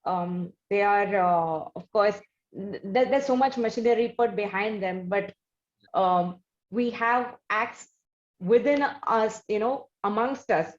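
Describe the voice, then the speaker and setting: Female host, Indian accent, deliberate halting questions, conversational, lo-fi room sound. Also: medium pitch. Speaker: interviewer, show host. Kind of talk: conversational music interview. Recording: roomy, dull lo-fi sound.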